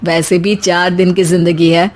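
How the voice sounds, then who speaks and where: Easygoing young cartoon husband, voiced by a woman: lowish, plain, simple. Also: level tone, normal speed, darkish tone, natural voice. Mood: breezy. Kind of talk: animated family story acting.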